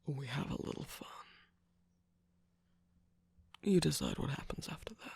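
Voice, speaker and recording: A performer voicing a smug, seductive villainous cookie radio host in an ASMR romantic roleplay, slow, coaxing and teasing, with a breathy, gravelly voice that often drops to a whisper. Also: mid-range voice, lively intonation, darkish tone, no echo, full character voice. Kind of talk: ASMR romantic roleplay, scripted character acting. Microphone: close microphone, dry.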